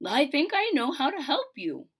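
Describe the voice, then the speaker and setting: A woman voicing a wise, kindly old witch in a storybook, gentle and reassuring. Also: high voice, wildly swooping pitch, normal speed, voice pushed up, exaggerated voice.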